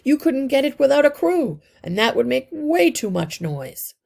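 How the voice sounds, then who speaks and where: A woman doing a brisk, crotchety old mouse. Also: high voice, very animated, creaky, darkish tone, pitched above the performer's own voice, full character voice. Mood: dismissive. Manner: brisk, dismissive.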